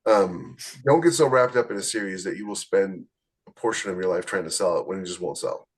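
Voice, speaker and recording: Husky, low-voiced man. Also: brisk. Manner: blunt, plain-spoken. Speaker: podcast host, author. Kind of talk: casual advice chat about book publishing.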